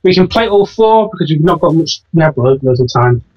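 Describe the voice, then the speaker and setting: A woman's voice: low-pitched, expressive, fairly quick, breathy edge, far from the mic, highs cut off. A young woman playing a game-show host in a casual gaming chat, giggly and losing track of the rules.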